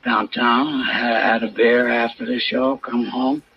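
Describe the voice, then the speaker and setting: A man's voice: mid-range voice, level tone, unhurried, close to an everyday voice. A surly American garage mechanic with a prison past acted by a man in an old radio crime drama. He talks in defensive, slangy grumbles, and the recording is dull with faint noise. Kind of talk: 1950s radio drama acting.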